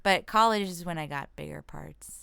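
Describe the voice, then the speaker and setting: A woman's voice: sits low, normal speed, vocal fry, sharp tone, smooth, no room sound. An American woman chatting casually as an interview guest, informal and self-deprecating, thinking aloud as she goes. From Washington state, United States. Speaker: comedian and actress, interview guest. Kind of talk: casual chat.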